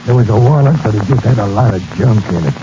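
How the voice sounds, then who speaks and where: Low-voiced sullen crook played by a man. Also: quick pace, lightly acted. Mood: defensive. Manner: slangy, ungrammatical tough talk. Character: dim, sullen male crook, a henchman. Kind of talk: 1940s radio drama acting. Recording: muffled, noisy 1940s radio recording.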